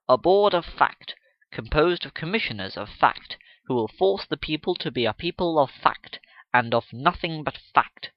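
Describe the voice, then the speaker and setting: A man voicing a domineering gentleman official who lectures pompously and emphatically in a dramatic storybook reading. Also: mid-range voice, no echo, highs cut off, natural voice. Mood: grandly proclaiming. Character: loud, domineering gentleman official obsessed with fact.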